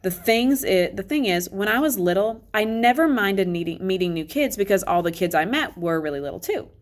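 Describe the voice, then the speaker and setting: A woman reading a children's novel aloud as an expressive, conversational first-person narration. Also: mid-range voice, a little fast. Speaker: read-aloud reader.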